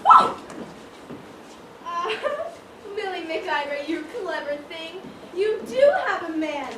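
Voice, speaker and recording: A woman's voice: extremely high pitch, very animated, slow pace, full character voice. A woman acting a nosy, teasing society customer in an amateur stage comedy, with an arch, exclamatory manner. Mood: delighted, teasing. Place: school theatre stage, distant microphone. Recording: echoey stage from far off, noisy.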